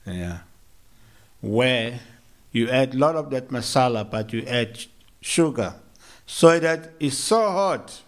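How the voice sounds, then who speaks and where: Slow, measured South African man preaching. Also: medium pitch, animated. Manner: slow, measured, with hesitant restarts and gentle humor. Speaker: monk giving a morning lecture. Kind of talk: sermon. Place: temple hall.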